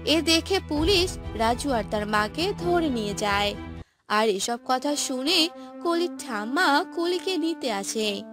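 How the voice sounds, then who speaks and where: A woman's voice: high voice, animated, slow. A woman narrating a scripted cartoon story. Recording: music behind the voice.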